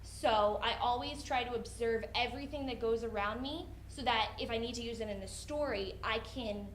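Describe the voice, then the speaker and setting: Young woman, student writer, unscripted Q&A, stop-start phrasing, high-pitched, faint background noise. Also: normal speed, roomy sound, low-quality recording. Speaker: student writer answering audience questions. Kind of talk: unscripted question-and-answer talk after a reading. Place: indoor room at a college event.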